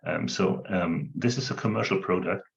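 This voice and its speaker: A low, gravelly man with non-native English talks through a demo, stopping often on ums and uhs. His audio is dull and lo-fi, like an online call. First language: not English. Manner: halting, many ums and uhs. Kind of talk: screen-share demonstration.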